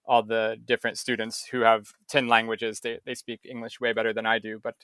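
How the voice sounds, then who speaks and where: A man from Ohio talks as a podcast guest in a smooth, clear voice, laughing often and making fun of himself. His pitch sits mid-range, and the sound is dry, with no room around it. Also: level tone, a little fast, sharp tone, no echo. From Ohio, USA. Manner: self-deprecating, laughs often, restarts. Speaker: guest, juggler. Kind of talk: casual interview chat.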